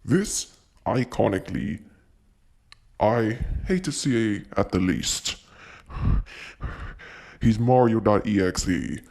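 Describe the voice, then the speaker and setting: A man acts as a frightened male witness calling for emergency help. He speaks slowly and haltingly in a subdued, husky voice, in a horror audio drama. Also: lowish voice, animated, a little mellow, exaggerated voice. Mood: uneasy.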